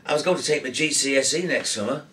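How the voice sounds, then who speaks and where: Storybook part: nervous, polite schoolgirl read by a man, hesitant, lifted in pitch, slightly distant. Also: fast talker, pushed and bright, lightly acted. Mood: flustered. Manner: hesitant, flustered, reticent. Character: shy, nervous, polite teenage girl. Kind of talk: audiobook character voice.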